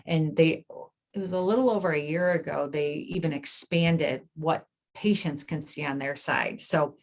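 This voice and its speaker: A woman with a very low voice and plenty of vocal fry gives an informal health talk. She explains things in a plain, conversational way, as a clinician presenting to patients. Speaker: nurse practitioner giving a presentation.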